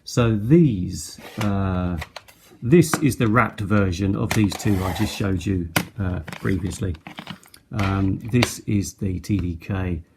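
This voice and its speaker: Low, creaky-voiced man, hesitant. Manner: hesitant, halting delivery. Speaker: product reviewer. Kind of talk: casual unscripted product show-and-tell. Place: indoors at a tabletop. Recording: noticeable background noise, handling clicks.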